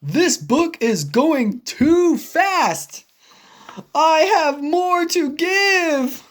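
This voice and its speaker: A man voicing a big, naive, anxious male elephant in an animated picture-book read-aloud, earnest and easily astonished. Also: very high-pitched, extremely slow, pitched above the performer's own voice, full character voice. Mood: panicked.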